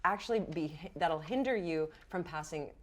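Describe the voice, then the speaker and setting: A woman acting as game master, improvising narration and explaining rules in a halting, animated way. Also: fairly low pitch, slight background noise.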